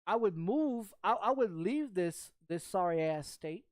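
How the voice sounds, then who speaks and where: A woman in her thirties talks in a rambling, casual way at a middle pitch. She is blunt, swears heavily and repeats her phrases. The sound is very dry, as if on a close streaming mic. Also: animated, a little slow, darkish tone, no room sound. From Texas, United States. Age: 30s. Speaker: game streamer.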